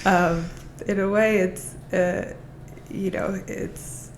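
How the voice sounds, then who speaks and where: A woman's voice: mid-range voice, steady tone, slow pace, a little hiss or hum. A woman speaking as a guest on a podcast, talking eagerly and often restarting her phrases. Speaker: guest, art auction specialist. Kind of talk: casual conversation.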